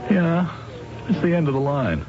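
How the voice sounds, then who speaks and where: A man's voice: a little high, big pitch swings, moderate pace. An actor playing an uneasy, ambitious married executive and ex-Army man in an old-time radio drama. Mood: rueful, wry. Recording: muffled old radio recording.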